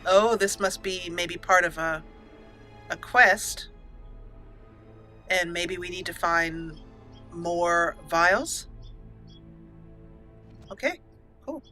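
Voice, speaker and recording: A woman's voice: fairly low pitch, very slow, pauses often, sharp tone. A woman hosting a let's play, chatting through her gameplay in an upbeat way and reading the game's text aloud as roleplay narration. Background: faint game music.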